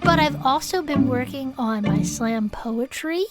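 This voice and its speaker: A woman playing an excitable, earnest turtle-folk gardener with buried rage, chatting as co-host of a comedy fiction podcast. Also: sits high, measured pace, over loud music. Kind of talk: comedic character banter, partly improvised.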